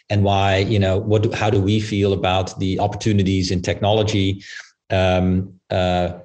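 A man with a fairly low voice answers as a finance guest in a panel interview. He explains at length in a measured way, often pausing to find the next phrase. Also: a little mellow. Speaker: growth equity investor, panel guest.

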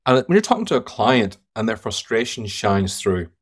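A man's voice: fairly low pitch, very animated, normal speed, breathy edge. Emphatic Irish man telling stories. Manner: emphatic, storytelling, blunt verdicts. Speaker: guest sales trainer. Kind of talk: podcast interview.

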